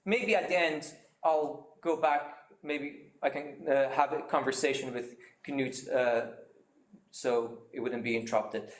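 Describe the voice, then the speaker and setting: A man giving a seminar talk, explaining his method step by step with many pauses and fillers. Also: medium pitch, steady tone, roomy sound, slight background noise. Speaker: seminar speaker.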